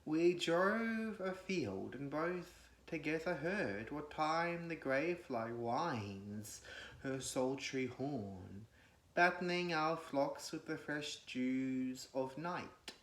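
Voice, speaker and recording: A man gives a solemn, declamatory, measured recitation of classical poetry. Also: fairly high pitch, animated, fairly bright tone. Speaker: poetry reader. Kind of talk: dramatic recitation of classical verse. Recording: echoey room.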